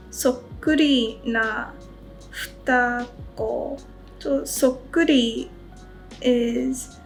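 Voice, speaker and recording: Female study vlogger, very unhurried delivery, casual and careful with pronunciation, light music underneath. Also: high voice. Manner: self-conscious, careful when pronouncing foreign words. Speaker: study vlogger and Japanese learner. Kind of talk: casual study-with-me chat, sounding out vocabulary.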